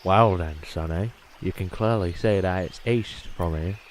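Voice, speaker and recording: A man's voice: deep voice, measured pace, slight background noise. A man playing a blunt, exasperated traveller in a comedy fantasy sketch. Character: blunt, unimpressed male traveller, impatient with fools.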